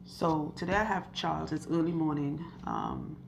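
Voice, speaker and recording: A woman loctician with a husky, hoarse voice, talking slowly and earnestly to camera while interviewing a client in her salon. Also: sits low, wildly swooping pitch, dull audio, background noise. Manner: earnest, chatty, asks questions and recounts stories. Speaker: loctician and channel host. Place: hair salon.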